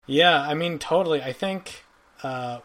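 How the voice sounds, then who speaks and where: A man's voice: fairly high pitch, a little slow, fairly bright tone. A man in his forties from Belgium, a podcast guest telling his story in a thoughtful, rambling way. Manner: thoughtful, rambling, many fillers and restarts. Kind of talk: casual interview chat.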